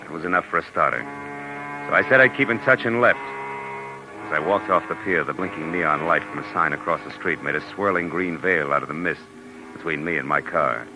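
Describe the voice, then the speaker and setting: Deep-voiced, hardboiled American private eye. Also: male voice, brisk, over music, poor audio. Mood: brooding narration. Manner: clipped, sardonic, slangy. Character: tough, wisecracking male private detective, cynical and pushy. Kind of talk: 1940s radio noir acting, hardboiled narration. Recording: hissy 1940s radio recording.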